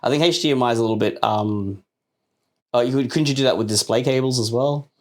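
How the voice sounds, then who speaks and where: Man, mid-pitched voice, podcast co-host, casual tech chat, jokey, playful. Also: quick pace.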